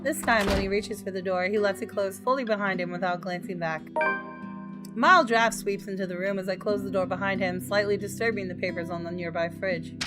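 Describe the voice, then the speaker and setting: A woman hosting a gaming video, reading aloud and commenting in a casual, expressive way. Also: medium pitch, a little fast. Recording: voice over guitar game music.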